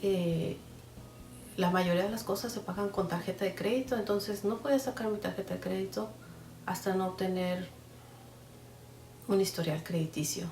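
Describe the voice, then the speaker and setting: A woman giving reflective interview answers in a measured, thoughtful way. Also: mid-range voice, darkish tone, recorded from a distance, a little hiss or hum. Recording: echoey room, off-mic.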